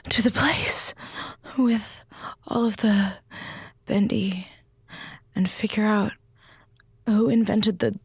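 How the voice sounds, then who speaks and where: Slow, dazed, rambling female captain. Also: medium pitch, steady tone, vocal fry, husky, no echo, studio quality, exaggerated voice. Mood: fading, disoriented. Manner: disjointed, halting, muddled. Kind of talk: audio drama acting. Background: loud music.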